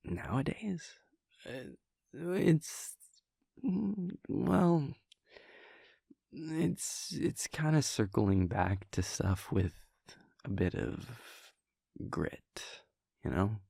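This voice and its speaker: A man playing an affectionate, wry vampire prince boyfriend in an intimate roleplay audio, talking in a casual, hesitant, soft-spoken way. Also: very animated, extremely slow, pauses often, dry recording, studio quality, full character voice. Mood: playful, casual. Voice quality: low, creaky. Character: playful male vampire prince, affectionate boyfriend, wryly reflective. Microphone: close, dry studio-style microphone.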